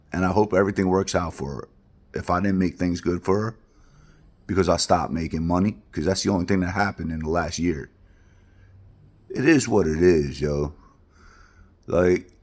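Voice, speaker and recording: A man's voice: extremely low pitch, husky. A middle-aged man from Queens, talking bluntly and heartfelt in a rambling personal monologue. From Queens, New York. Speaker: vlogger.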